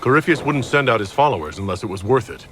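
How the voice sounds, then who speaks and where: A man playing a calm, kindly leader in a video game, measured and direct in manner. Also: mid-range voice, slight background noise. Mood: resolute. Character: calm, kindly male leader of a fantasy order. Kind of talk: video game protagonist acting.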